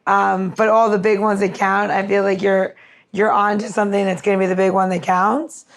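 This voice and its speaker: A woman's voice: mid-range voice, level tone, normal speed. A woman co-hosting a podcast in casual chat, with an informal, effusive and rambling way of talking. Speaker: podcast co-host.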